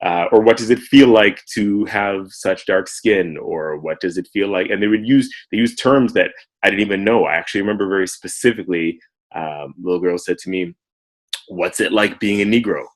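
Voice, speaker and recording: A Canadian man in his thirties, a guest telling his story in a relaxed way, with many ums. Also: low-pitched, normal speed. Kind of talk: casual interview.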